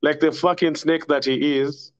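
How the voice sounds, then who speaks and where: Young man, Kenyan accent, rambling storyteller, slightly high voice, dull lo-fi sound. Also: fairly even intonation, moderate pace. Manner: rambling, laughs often, mixes in Swahili. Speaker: podcast guest. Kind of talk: casual storytelling chat.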